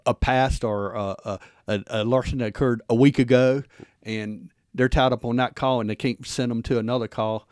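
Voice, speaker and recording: A man hosting a conversational interview, talking informally and wordily, with halting repeats as he works through his thoughts. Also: mid-range voice, fairly even intonation, a little mellow, clean tone, dry recording, studio quality. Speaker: guest host, former police officer.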